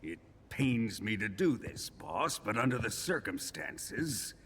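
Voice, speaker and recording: An actor playing a sadistic military colonel in a video game, taunting and theatrical in a deliberate way. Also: male voice, on the low side, big pitch swings, a bit bright, a little hiss or hum, full character voice. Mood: suspicious. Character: sadistic, menacing military colonel interrogating a captive. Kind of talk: dramatic video game acting.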